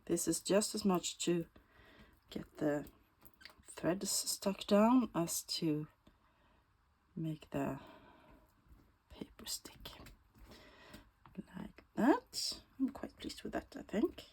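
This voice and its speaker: A woman with a Swedish accent, Swedish native, talking through a bookbinding tutorial in a slow, thoughtful way that often drops to a soft whisper while she works. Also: fairly low pitch, animated, breathy edge. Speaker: bookbinding crafter. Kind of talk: casual craft tutorial.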